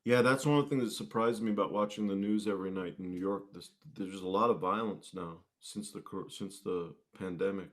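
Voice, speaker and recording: A man with a fairly low voice speaks as a guest musician. His delivery is casual and conversational, like an informal chat. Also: a little fast, few pauses.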